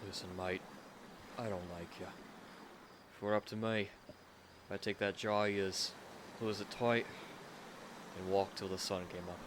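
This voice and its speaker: A man voicing a gruff, blunt but loyal working-class fellow who talks colloquially in an audio roleplay. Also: sits low, fairly even intonation, unhurried, pauses often, background noise. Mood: blunt, earnest.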